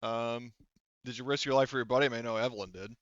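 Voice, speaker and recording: A man running a tabletop game as game master, talking casually and piecing his narration together haltingly as he goes. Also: medium pitch, fairly even intonation, a bit bright, no room sound, lo-fi sound.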